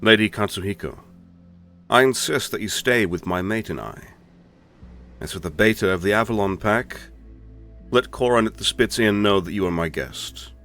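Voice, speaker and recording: A man's voice: lowish voice, normal speed, a bit bright, faint music behind. A man playing a courteous, commanding werewolf pack leader in a fantasy audio drama, speaking formally. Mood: businesslike. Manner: formal, authoritative. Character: lordly male werewolf alpha, courteous and commanding. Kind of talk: scripted fantasy audio roleplay.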